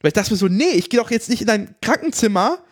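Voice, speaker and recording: A man's voice: sits high, lively intonation, fast, no room sound, studio quality. A man in casual chat, giving firm opinions and telling a personal story.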